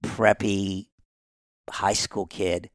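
A man with a Southern accent, originally from Iran, telling his life story on a podcast as a guest pastor in a lively way, acting out the voices of others and laughing often. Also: deep voice, drawn-out words, dry recording, studio quality.

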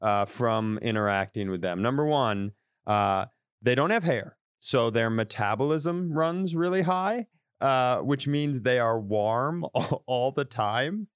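A man's voice: medium pitch, takes it slowly, smooth, dry recording, clean studio sound. A man co-hosting a podcast in casual comedic chat, telling exaggerated stories with plenty of swearing. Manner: wry, exaggerated storytelling with profanity. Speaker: podcast co-host.

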